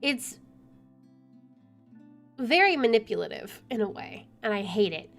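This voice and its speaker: A woman's voice: on the high side, sing-song, measured pace, vocal fry. A woman streaming a game, reading visual novel narration aloud and adding casual asides. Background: soft music.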